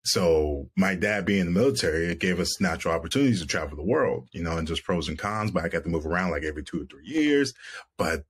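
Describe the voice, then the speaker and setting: A man's voice: deep voice, lively intonation, quick pace, husky. A man in his thirties, an actor guest on a video call, speaking earnestly and reflectively with frequent restarts. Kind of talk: reflective interview talk. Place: room at home, video call.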